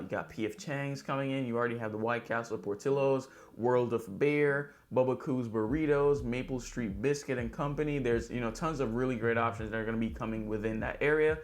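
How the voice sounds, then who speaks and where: A man who works as a real estate agent hosts a news recap in a chatty, upbeat way, speaking directly to viewers. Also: medium pitch. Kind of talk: vlog news recap to camera, conversational.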